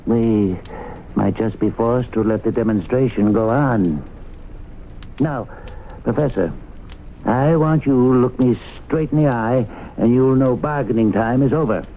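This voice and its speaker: A male actor plays a hard-bargaining businessman in an old radio play. He is deliberate, blunt and firmly controlled, and the recording is thin and muffled with faint hiss. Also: medium pitch, natural voice. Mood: firm, hard-nosed. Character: hard-bargaining small-town businessman, cool and firm. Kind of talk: 1970s radio drama acting.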